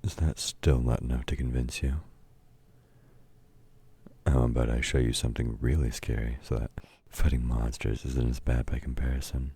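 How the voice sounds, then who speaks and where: An actor playing a domineering, taunting villain in an intimate audio roleplay, gruff and commanding as he mocks a meek listener. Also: flat delivery, normal speed, heavily acted. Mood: gruff reassurance. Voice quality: very deep, dark, husky voice. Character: domineering, taunting male villain, grudging temporary ally of heroes. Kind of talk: intimate audio roleplay, scripted dramatic acting.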